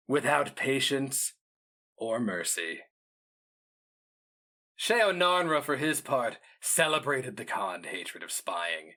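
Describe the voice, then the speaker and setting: Man solemnly narrating dark fantasy. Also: mid-range voice. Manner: solemn, measured, theatrical delivery with deliberate pauses. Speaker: story narrator. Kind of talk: dramatic literary fantasy reading.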